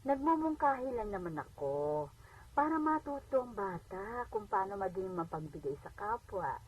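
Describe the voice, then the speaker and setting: A woman's voice: mid-range voice, big pitch swings, normal speed, husky. A woman playing a humble, generous elderly grandmother in a Filipino radio drama, coaxing and hesitant in manner. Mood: apologetic, gentle. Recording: distant, noisy AM radio playback.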